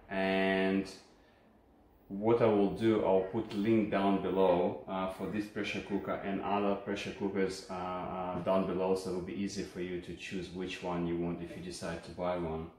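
A man's voice: deep voice, darkish tone. A man with a Ukrainian accent hosting a casual, unscripted product review in a home kitchen, explaining things in a friendly way with many hesitations, filmed on a phone camera from a distance. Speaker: channel host reviewing a kitchen appliance. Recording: echoey kitchen with faint hum.

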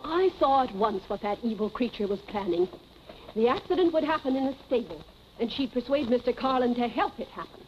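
An actress playing a stern elderly housekeeper in a 1940s film melodrama, righteous, suspicious and emphatically accusing. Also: female voice, on the high side, animated, a little fast, a little hiss or hum, lightly acted. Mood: bitter, accusing. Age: older. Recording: muffled 1940s film soundtrack, music behind.

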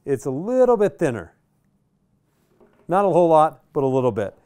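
A man's voice: fairly high pitch, big pitch swings, normal speed, darkish tone, smooth. A middle-aged American man presenting a product in a casual, conversational way, thinking aloud as he goes. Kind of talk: casual unboxing commentary. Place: indoor radio workshop.

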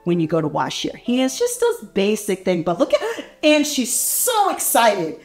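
A woman reading a picture book aloud to small children in an animated, encouraging, exaggerated way, inviting them to join in. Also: a little high, moderate pace, reverberant, faint music behind. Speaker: read-aloud storyteller for young children. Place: small furnished indoor room.

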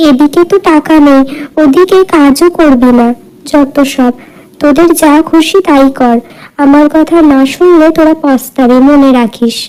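A woman's voice: high-pitched, unhurried, darkish tone, rough recording. A woman voicing a spiteful, boastful, condescending neighbour woman in a cartoon village tale. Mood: annoyed. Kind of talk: cartoon fairy-tale dialogue. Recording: music under the voice.

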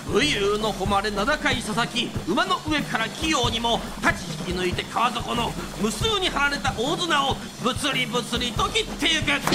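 High-pitched theatrical male storyteller. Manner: declamatory, theatrical, rhythmic. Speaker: kodan storyteller, narrator. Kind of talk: traditional kodan storytelling narration for radio drama. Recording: music under the voice.

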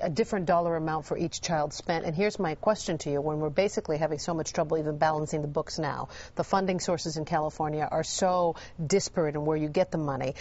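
A woman hosts a TV interview in a fairly low voice. She asks polished, leading questions. The sound is dull and muffled, like a broadcast recording. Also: a little mellow. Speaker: TV show host.

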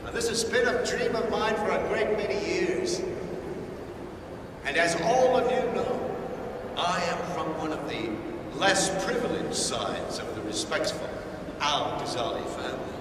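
Grandiose tycoon in an echoing hall. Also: male voice, high-pitched, expressive. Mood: proud, ceremonial. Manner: grandiose, formal, halting. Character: proud, gracious male construction tycoon opening his skyscraper. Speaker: inauguration host. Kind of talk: ceremonial public speech. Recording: echoing hall, far mic, crowd noise. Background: large crowd.

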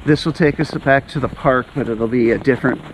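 A man's voice: medium pitch, fairly quick. A middle-aged man hosting a casual walking vlog, chatting as he goes along a trail outdoors. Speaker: vlog host on a hike. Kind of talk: casual chat while walking. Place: outdoors on a snowy woodland trail. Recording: noisy outdoor recording, walking on snowy trail.

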